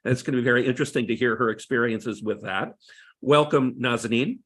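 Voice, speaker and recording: A man's voice: mid-range voice, fairly bright tone. Man co-host introducing guests. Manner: measured, with frequent fillers. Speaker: podcast co-host.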